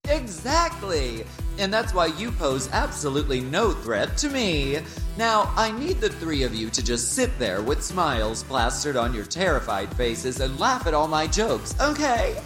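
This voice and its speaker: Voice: mid-range voice, very animated, measured pace, echoey room, poor audio. An actor playing a flamboyant, domineering, self-obsessed drag queen TV host in a campy comedy radio play, with a theatrical, shady announcer manner. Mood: cheerfully menacing. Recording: loud music bed behind the voice.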